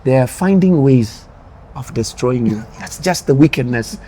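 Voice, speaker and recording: Middle-aged Kenyan pastor, ex-dancehall artist; warm, dark voice, emphatic and animated in conversation. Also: male voice, mid-range voice, unhurried. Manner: emphatic, builds up excitedly when boasting. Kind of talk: casual interview talk.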